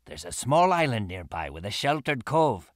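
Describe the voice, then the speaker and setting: An actor playing a roguish, sly pirate first mate in a video game, talking in a confiding, easygoing way. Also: male voice, medium pitch, sing-song, normal speed, no room sound, clean studio sound, lightly acted. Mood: mischievous. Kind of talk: video game cutscene acting.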